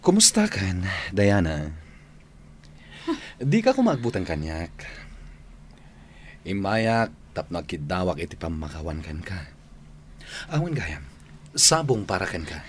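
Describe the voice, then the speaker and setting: A man's voice: sits low, huge pitch swings, slow, long pauses, a little hiss or hum, exaggerated voice. A man playing a repentant suitor pleading for forgiveness in an Ilocano radio drama, speaking earnestly with an Ilocano accent. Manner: earnest, imploring. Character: repentant, pleading male suitor seeking forgiveness from wary woman.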